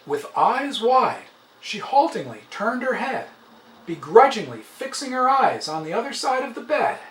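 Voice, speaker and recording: A man reading a short story aloud as a dramatic, expressive narration. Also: very high voice, unhurried. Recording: roomy, slightly distant, faint noise.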